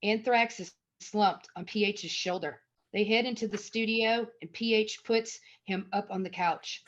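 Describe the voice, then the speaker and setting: A woman's voice: mid-range voice, fairly even intonation, unhurried. A woman narrator reading out screenplay stage directions as scripted narration. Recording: dull lo-fi audio.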